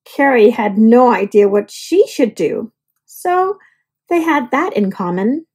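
A woman reads a children's picture book aloud expressively, with deliberate dramatic pauses. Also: fairly high pitch, animated, darkish tone, slightly rough.

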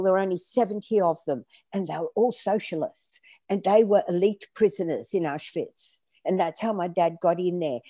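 An older woman whose first language was French speaks in a fairly low, mellow voice. She tells her story with lively gestures over a video call from home. The sound is dry and close. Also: no echo. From Australia. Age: around 70. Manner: animated, gestures while telling stories. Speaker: guest author and storyteller. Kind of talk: conversational interview. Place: home room, indoors.

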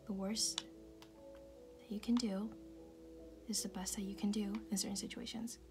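Soft whispering woman over clear music. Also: mid-range voice, level tone, moderate pace. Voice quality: soft, breathy whisper, often unvoiced. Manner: tender, reassuring, intimate, addressing the listener directly. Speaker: ASMR roleplay performer. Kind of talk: ASMR personal-attention roleplay, comforting affirmations. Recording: music playing behind the voice.

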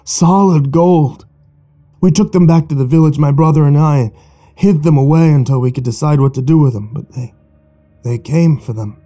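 A man's voice: mid-range voice, a little slow, pauses often, warm tone, husky. A man voicing a gasping, dying old fisherman. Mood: haunted, gasping. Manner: weak, gasping, broken phrases. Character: dying male fisherman, gaunt, gasping and desperate.

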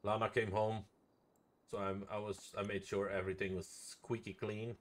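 A man's voice: lowish voice, level tone, normal speed. A man chatting casually while streaming a game, swearing freely and repeating phrases playfully. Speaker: live streamer playing a roleplay game.

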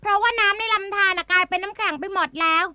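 A woman voicing a hungry, complaining stork in a children's story, plaintive and excitable. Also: extremely high pitch, very fast, no room sound, heavily acted.

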